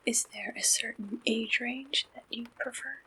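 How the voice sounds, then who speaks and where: A woman performing an ASMR roleplay, gently and deliberately asking questions like an interviewer. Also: on the high side, steady tone, breathy edge, rough recording.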